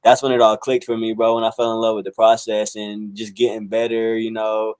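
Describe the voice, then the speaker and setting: A man in his twenties from South Carolina, a musician talking casually in an interview, relaxed and full of fillers. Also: fairly low pitch, brisk, clear, resonant tone, no echo. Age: 20s. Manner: laid-back, many fillers like "um", "like" and "bro". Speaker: musician guest.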